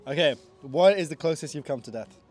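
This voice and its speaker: A man's voice: fairly high pitch, expressive, fairly quick, soft background music. A young man hosting a podcast in a casual, playful, wry interview style. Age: young adult. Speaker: podcast host.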